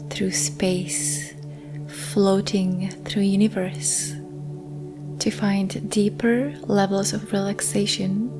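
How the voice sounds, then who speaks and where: Female meditation guide: soft breathy whisper, unhurried and soothing, set over prominent ambient music. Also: medium pitch. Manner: gentle, hushed, soothing, with lingering pauses. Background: immersive ambient meditation music.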